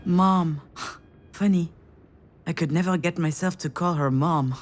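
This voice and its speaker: An actress playing an anxious, sardonic, self-deprecating daughter in a visual novel, speaking in a wry, confiding way. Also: female voice, lowish voice, slow. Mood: wry, subdued. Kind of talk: visual novel voice acting, inner monologue and dialogue.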